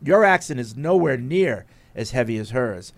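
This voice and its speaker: A man's voice: medium pitch, animated, normal speed, creaky. A man from New Jersey hosting a casual sports radio show, reading listener emails and bantering with his co-host. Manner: reads listener emails aloud, playful back-and-forth.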